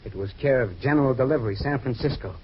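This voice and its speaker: Man acting anxious, fast-talking American client. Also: mid-range voice, close to an everyday voice. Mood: insistent, agitated. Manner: hesitant, pleading, repeats himself nervously. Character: anxious, haunted retired male client, softening ex-fighter. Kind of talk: 1940s radio drama acting. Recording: muffled, hissy old radio recording.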